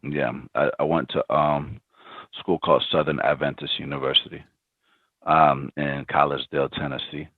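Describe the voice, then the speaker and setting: Very deep-voiced man on a phone-like line. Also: flat delivery, moderate pace, breathy edge, no echo. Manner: reflective, many ums and restarts. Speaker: podcast guest, startup founder. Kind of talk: casual interview answers. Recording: dry, phone-like remote line.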